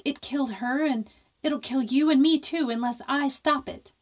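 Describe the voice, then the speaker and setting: Woman acting guilty young roommate in a spooky radio play, highish voice, dramatic and emphatic. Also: normal speed, natural voice. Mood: anguished guilt. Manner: theatrical, emphatic, repeats phrases. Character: guilt-ridden young woman, defiant, self-blaming roommate.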